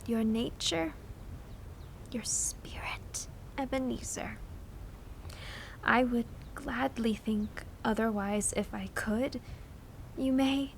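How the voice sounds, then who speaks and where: An actress playing a sorrowful, gentle young woman in an audio drama, breathy, grave and often half-whispering. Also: fairly high pitch, slow, pauses often, a little hiss or hum, rough recording. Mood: sorrowful, resigned. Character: Belle, sorrowful, gentle young woman leaving her betrothed.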